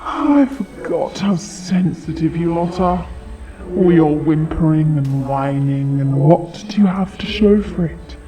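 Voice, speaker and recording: Guy doing a snooty impatient dragon, talks slow and mocking, fantasy audio play w loud music behind. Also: on the high side, voice pushed up. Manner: condescending, slow and deliberate taunting. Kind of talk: fantasy audio drama acting.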